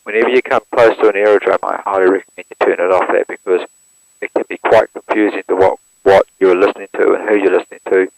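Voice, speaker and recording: A man, walking through how to operate an aircraft radio step by step in a plain, steady manner, recorded through an intercom headset. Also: low-pitched, fast, creaky, no room sound, rough recording. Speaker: instructor demonstrating an aircraft radio. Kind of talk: hands-on equipment walkthrough.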